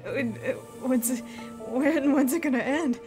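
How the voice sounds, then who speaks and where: A woman's voice: high-pitched, measured pace. A woman chatting casually as she reacts to a game in a group voice chat. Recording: voice chat over loud game music. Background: game music and dialogue.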